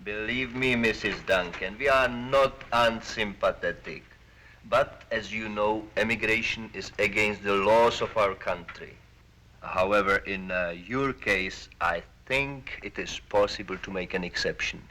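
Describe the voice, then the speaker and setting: A polite but menacing spy agency official in an old film, lowish sharp voice, insinuating. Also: male voice, measured pace. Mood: silky, coercive. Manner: formal, hesitant, insinuating. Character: smooth, calculating Polish intelligence official, polite and menacing.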